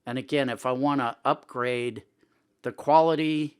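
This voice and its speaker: A man's voice: mid-range voice, steady tone, unhurried, a little mellow, smooth. A man presenting a casual tutorial in a conversational way, thinking aloud as he goes. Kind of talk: casual screen-recording tutorial talk.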